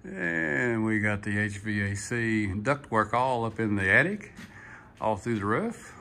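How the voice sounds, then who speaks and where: An older man giving a chatty, folksy walkthrough of his house under construction, filming on his phone and filling pauses with "uh". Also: lowish voice, animated, gravelly, sharp tone. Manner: folksy, chatty, with many "uh" fillers and "yeehaw". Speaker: vlogger touring his house build. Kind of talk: casual walkthrough narration. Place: unfinished wooden house frame, indoors and on outdoor steps. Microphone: phone camera held by the speaker.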